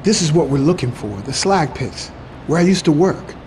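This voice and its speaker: Man acting stubborn uneasy worker, audio drama, folksy speech, lively high voice. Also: moderate pace, slight background noise, close to an everyday voice. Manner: folksy, informal, anxious. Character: uneasy but stubborn male former slag-pit worker, plain-spoken.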